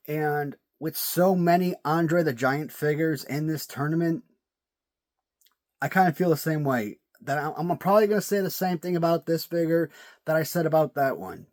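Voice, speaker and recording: Chatty man with a sore, cracking voice. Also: fairly high pitch. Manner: chatty, repeats words while thinking. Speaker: toy collector reviewing action figures. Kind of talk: casual video commentary.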